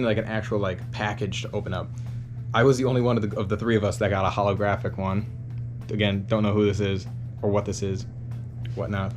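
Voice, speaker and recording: Chatty young man over background music. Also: on the low side. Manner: rambling, chatty, many ums and restarts. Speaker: vlogger showing convention haul. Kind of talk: casual chat to camera. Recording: music playing behind.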